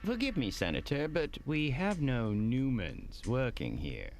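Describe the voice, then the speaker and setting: A suave, deferential hotel manager for an audio drama. His delivery is theatrical and extremely animated in pitch, with noticeable fry. Faint music plays behind him. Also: unhurried. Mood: unctuous welcome. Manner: formal, courteous, theatrical.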